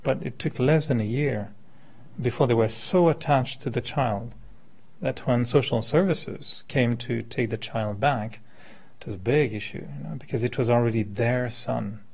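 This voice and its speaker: Male speaker, fifties, mid-pitched with heavy vocal fry, informal spiritual lecture, conversational and questioning. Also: moderate pace, darkish tone. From born in France, settled in Quebec. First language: French. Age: 50s. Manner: conversational, illustrates with hypothetical examples and questions. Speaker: meditation teacher, speaker. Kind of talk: informal spiritual talk to a live group.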